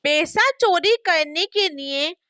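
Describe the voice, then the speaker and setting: A woman narrates a children's moral story in a very high, bright voice. She reads at a slow pace, in a close, dry recording. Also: animated, dry recording.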